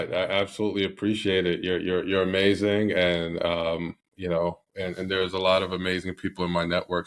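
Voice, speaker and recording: Man from Barbados, middle-aged, very deep voice, flat delivery, hesitant interview talk. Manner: hesitant, many fillers and restarts. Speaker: podcast guest, company CEO. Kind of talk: casual interview.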